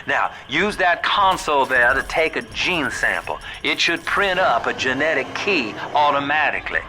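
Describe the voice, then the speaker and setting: A man's voice: medium pitch, lively intonation, normal speed, background noise, natural voice. An actor playing a slick, world-weary older businessman in a video game, with a folksy, familiar drawl. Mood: wry, urgent.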